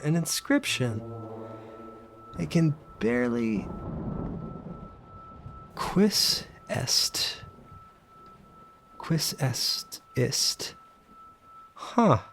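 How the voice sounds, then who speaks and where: An actor playing a haunted, curious solitary man in an audio drama, halting and gravelly, as if thinking aloud. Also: medium pitch, heavily acted. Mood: intrigued, musing. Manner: hesitant, halting, murmuring to himself. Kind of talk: first-person dramatic narration with in-scene dialogue.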